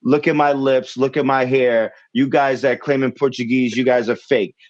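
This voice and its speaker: A middle-aged man from a Cape Verdean family in the States talks in a relaxed, conversational way, nodding along with quick affirmations. His voice sits at an ordinary pitch in a dry space. The sound is slightly dull and muffled. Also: no room sound. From Cape Verdean family in the United States. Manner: relaxed, affirming with frequent amens and laughs. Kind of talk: casual conversation.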